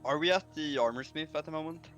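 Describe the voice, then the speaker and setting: Bright-voiced flustered male player. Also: on the high side, fast talker, muffled recording, faint music behind. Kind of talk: casual chat.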